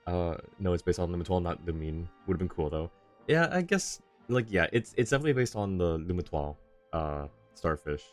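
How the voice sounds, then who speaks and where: A man with a low voice streams a game and comments casually. He muses haltingly as he goes, with game music audible behind him. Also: very animated. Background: video game music.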